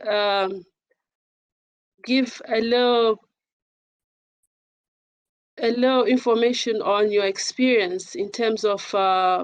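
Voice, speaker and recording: A woman speaking as an advocate on a panel in a formal, measured and somewhat hesitant manner. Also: a little high, clear, resonant tone, dry recording, rough recording. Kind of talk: panel talk introducing herself and discussing.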